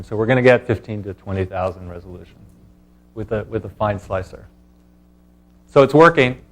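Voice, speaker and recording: A man presenting as a scientist at a conference, speaking in a halting way with pauses mid-thought. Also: medium pitch. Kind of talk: conference talk and question answering.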